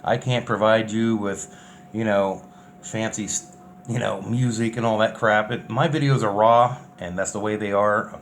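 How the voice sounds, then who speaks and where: A man's voice: lowish voice, fairly even intonation, measured pace, slight background noise. A man chatting casually and unscripted as a vlogger, in a plainspoken, offhand way. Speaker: vlogger documenting a bus build. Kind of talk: casual unscripted vlog chat.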